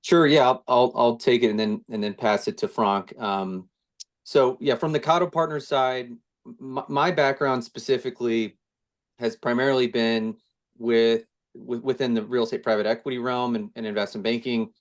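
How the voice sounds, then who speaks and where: A man talking on a video call in a relaxed, conversational tone. He speaks at an ordinary middle pitch and often restarts his sentences, trailing off and picking the thought back up as he goes. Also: normal speed. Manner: relaxed, many restarts and fillers. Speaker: co-founder of a real estate firm. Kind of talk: conversational interview answer.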